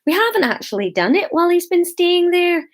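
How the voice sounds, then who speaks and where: A woman's voice: very high voice, very animated, brisk, voice pushed up. A woman voicing a mild, apologetic village man with a Scottish accent in a comic story. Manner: mild, placating.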